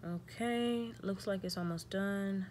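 A woman's voice: medium pitch, slow, a little mellow, slight background noise. A young woman hosting a casual step-by-step tech tutorial, thinking aloud and reading prompts in an informal way.

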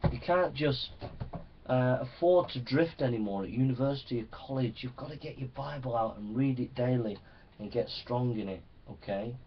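A male preacher teaches from the Bible in an earnest, exhorting way. His voice sits at an average pitch for a man. It is picked up some distance from the microphone, and the sound is a little dull. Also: normal speed, a little hiss or hum. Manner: earnest, exhorting, often seeking assent. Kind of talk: Bible teaching sermon to young people.

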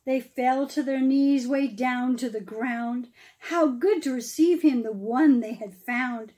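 A middle-aged woman reads a rhyming children's story aloud in an expressive, dramatic manner, pausing for emphasis. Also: high voice. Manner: dramatic, emphatic phrasing with pauses, explaining asides to children. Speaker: pastor reading a children's story.

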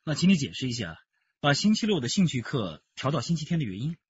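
An actor playing a frank, sardonic senior police detective in a radio drama. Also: male voice, medium pitch, very animated, fast, husky, no echo, highs cut off. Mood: businesslike.

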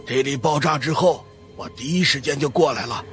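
A man's voice: mid-range voice, a little fast, a bit bright, faint music behind, natural voice. A man voicing a stern, knowing older monk consultant in a ghost-story audiobook, grave and explanatory. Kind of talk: audiobook character acting.

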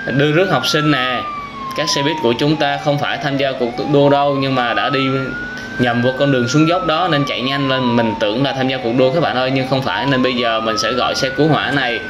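A man's voice: mid-range voice, wildly swooping pitch, fairly quick. A young man with a southern Vietnamese accent giving casual, exclamatory reaction commentary as a gaming host, speaking straight to young viewers. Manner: exclamatory storytelling with frequent wows and addresses to viewers. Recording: clearly noisy with game vehicle sounds and sirens.

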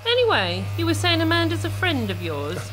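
A woman's voice: very high voice, wildly swooping pitch, fairly quick, a bit bright, faint music behind, exaggerated voice, low-quality recording. Woman acting a sing-song, falsely sweet hairdresser. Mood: pointed, suspicious. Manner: cooing endearments, mock-innocent. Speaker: actor in an amateur audio play. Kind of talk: comedic radio-play acting.

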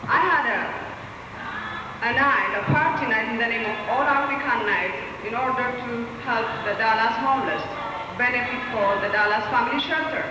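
A woman's voice: a little high, moderate pace, noisy background. A woman, not a native English speaker, giving an earnest, pleading speech at a podium in a large hall. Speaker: community speaker at a rally. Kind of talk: impassioned public speech. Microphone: podium mic from a far camera. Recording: echoey hall recorded from far off.

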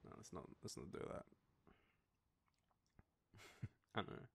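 A young Australian man playing an affectionate, nostalgic boyfriend in an intimate roleplay, talking to the listener in a halting, teasing way. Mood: fond, amused. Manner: hesitant, soft chuckles, halting pauses. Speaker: audio roleplay performer.

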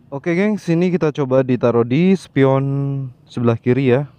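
A man's voice: mid-range voice, moderate pace, warm tone, no room sound. A man, talking casually to his viewers outdoors by his motorcycle, walking them through each step. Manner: friendly, addresses viewers as gang, step-by-step. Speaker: motovlogger. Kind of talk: casual vlog talk to viewers.

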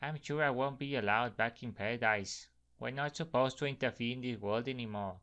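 A man's voice: mid-range voice, brisk, a bit bright, muffled recording, close to an everyday voice. A man voicing a haughty, playful goddess of war in a comic-book cartoon, nonchalant and sardonic.

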